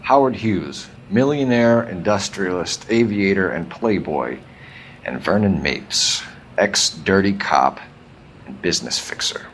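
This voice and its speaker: An actor playing a probing, businesslike police detective in a 1940s video game, with a dry, clipped delivery. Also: male voice, deep voice, lively intonation, a little slow, a bit bright, a little hiss or hum, heavily acted. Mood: deliberate, scornful. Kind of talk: cinematic game dialogue.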